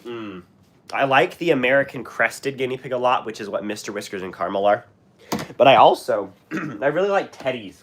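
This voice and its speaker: A man's voice: medium pitch, fairly bright tone. A young man with a US West Coast accent chatting casually on a livestream, rambling with fillers and restarts while sick with a cough. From Washington State. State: sick, coughing and clearing throat. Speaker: livestream host. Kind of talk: casual live chat answering viewers.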